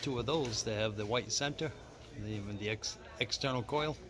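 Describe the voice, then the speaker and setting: A man's voice: on the low side, normal speed, a crowd in the background. A man with a playful, teasing manner, giving casual running commentary while filming inside a shop. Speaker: vlogger behind the camera. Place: inside a small radio shop. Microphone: handheld camera, close to speaker.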